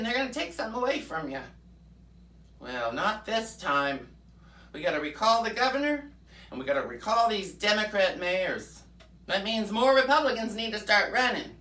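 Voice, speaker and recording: A woman playing a brash, folksy right-wing pundit persona in a comic rant, fiery and preachy with drawn-out "mm-hmm" asides. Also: mid-range voice, sharp tone, roomy sound, recorded from a distance. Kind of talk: comic political rant in character.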